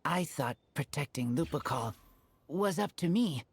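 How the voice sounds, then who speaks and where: A woman voicing an earnest, loyal wolf-raised teenage boy in a video game, with husky, halting, simple speech. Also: deep voice, expressive, heavily acted. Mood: sincere.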